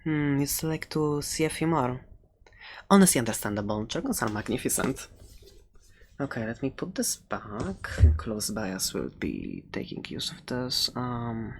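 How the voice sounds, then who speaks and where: Woman as a fantasy dragon egg shopkeeper for ASMR roleplay: very deep register, hesitant, playful, friendly, over audible background noise. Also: very animated, moderate pace, heavily acted. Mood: gentle, helpful. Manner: hesitant, rambling, giggly. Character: soft-spoken, playful dragon egg seller, friendly and rambling. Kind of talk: ASMR roleplay, improvised and conversational.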